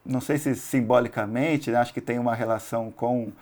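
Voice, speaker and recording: A Brazilian man discussing a film analytically and off the cuff, in a halting, tentative way with restarted phrases. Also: mid-range voice, steady tone, darkish tone. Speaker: film commentator.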